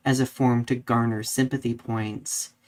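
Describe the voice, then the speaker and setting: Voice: medium pitch, flat delivery, a little slow, darkish tone. A podcast co-host talking in a casual, conversational way, often dropping in "like" while thinking aloud. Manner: conversational, peppered with "like". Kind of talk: casual discussion, reading out live comments.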